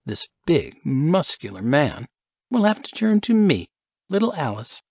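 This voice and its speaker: Man as young woman, coquettish, menacing, raised pitch, animated intonation, audiobook thriller character. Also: unhurried, husky, dry recording, muffled recording, exaggerated voice. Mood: playful.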